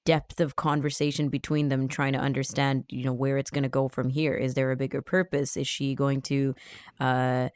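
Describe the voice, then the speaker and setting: A man interviewing in a conversational way, measured and often pausing mid-sentence as he discusses a story. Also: medium pitch, completely flat delivery, smooth, no echo, clean studio sound. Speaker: interviewer and reviewer.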